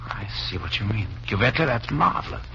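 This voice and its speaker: A quick-talking, low-pitched man in an old radio spy play, nervy and blunt. His delivery is clipped and urgent, on a dulled, slightly noisy recording. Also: sing-song, gravelly, sharp tone, highs cut off, exaggerated voice. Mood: anxious. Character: nervy, blunt Englishman caught up in espionage. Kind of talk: 1940s radio drama acting.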